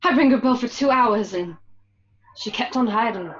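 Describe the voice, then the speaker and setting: A woman's voice: fairly high pitch, moderate pace, muffled recording, lightly acted. A woman playing a suspicious, wounded gangster's wife in a radio drama, emphatic and confrontational. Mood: hurt recounting. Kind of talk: amateur radio drama acting.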